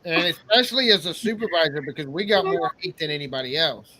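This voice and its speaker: A man's voice: a little high, lively intonation, fast, sharp tone, no echo, poor audio. A middle-aged man co-hosting a casual live panel, telling long earnest stories. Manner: earnest, rambling storyteller. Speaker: co-host.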